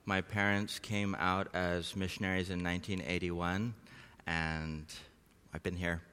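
A man's voice: sits low, flat delivery, unhurried, bright, forceful tone, clean tone. A middle-aged man, a missionary, preaching in an informal, chatty, conversational way with prayer and storytelling. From Norway and Southeast Asia. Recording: long hall reverb.

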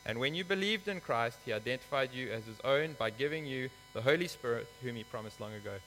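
A man's voice: mid-range voice, expressive, a little fast, fairly bright tone. A man from Africa, preaching a sermon in a church in an earnest, explanatory way with some hesitant fillers. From South Africa. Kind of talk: sermon with scripture reading. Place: church hall. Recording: reverberant hall with mains hum.

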